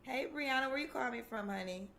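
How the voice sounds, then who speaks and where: A woman's voice: high-pitched, fast, a bit bright. A woman from Connecticut hosting a dating show, interviewing a caller in a familiar way and calling her baby and honey. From Connecticut, USA.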